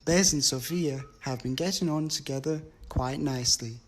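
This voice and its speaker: A man playing a mock-serious reality TV narrator, delivering scripted introductions in a deadpan announcer manner. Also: fairly high pitch, normal speed, faint music behind, natural voice.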